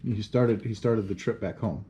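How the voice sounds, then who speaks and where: A woman's voice: a bass voice, moderate pace, mellow, a little hiss or hum. A woman who is a parent, patiently coaching a child through reading aloud, correcting words and explaining them. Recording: dull, slightly muffled home recording.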